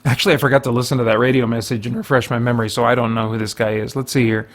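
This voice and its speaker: A man's voice: medium pitch, flat delivery, very fast. A man hosting a casual let's play, thinking aloud and rambling as he goes. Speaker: gaming video host.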